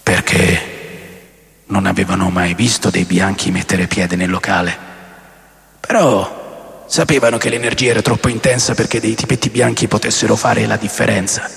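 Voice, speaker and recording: A man playing a rock musician recalling wild tours in a radio drama monologue, conversational and coarse, with dramatic pauses. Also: fairly low pitch, fairly even intonation, vocal fry, a bit bright. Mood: nostalgic, wry. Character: adult male rock musician recalling wild touring days. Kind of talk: radio drama monologue, first-person storytelling. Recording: long reverb, music under voice.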